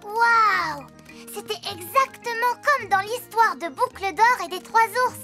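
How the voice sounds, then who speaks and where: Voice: very high-pitched, measured pace, sharp tone, soft background music, exaggerated voice. A performer voicing a cheerful, bossy little girl pig in a French preschool cartoon, full of childlike exclaiming. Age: child character.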